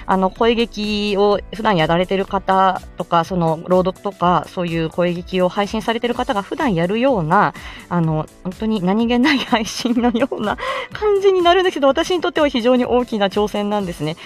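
A woman's voice: mid-range voice, animated, normal speed. Giggly woman chatting over music. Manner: giggly, self-deprecating, hesitant and rambling. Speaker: host of a morning audio show. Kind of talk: casual solo chat with announcements.

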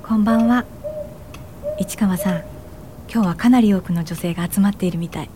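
A woman's voice: mid-range voice, brisk, mellow, slight background noise, close to an everyday voice. An actress playing a supportive woman activist in a Japanese radio drama, talking politely and conversationally. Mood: concerned, supportive.

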